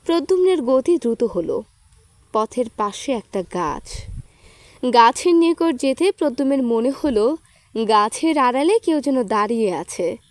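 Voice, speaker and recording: A woman narrates a literary story in a distinctly high voice. The recording is dry and close, with her scripted reading at the centre. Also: very animated, a little slow, no echo. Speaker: audio story reader.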